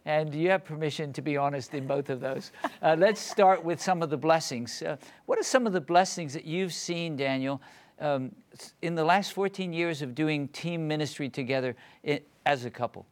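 A man hosting a conversational faith interview, speaking in a reflective, measured way. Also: a little high. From born in England. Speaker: interview host.

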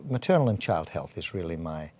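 An English man with a somewhat low-pitched voice answers interview questions. He speaks in a measured, deliberate way, with fillers and restarts. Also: big pitch swings, mellow. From England. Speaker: church health director and physician.

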